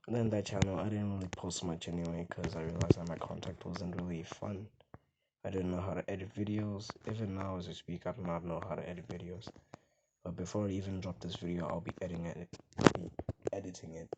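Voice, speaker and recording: Man, very deep voice, gravelly fry, rambling casual vlog, mumbles at times, loud background hiss and mouse clicks. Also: flat delivery, a little fast. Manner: rambling, soft and mumbled at times, hard to hear. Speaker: gaming vlogger. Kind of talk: casual rambling talk to camera.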